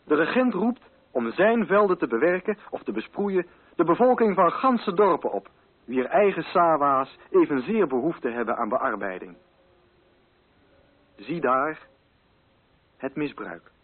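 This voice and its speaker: A man reading literary narration for a radio play in a measured, formal and carefully articulated way. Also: a little high, sing-song, slightly rough, narrow, tinny audio.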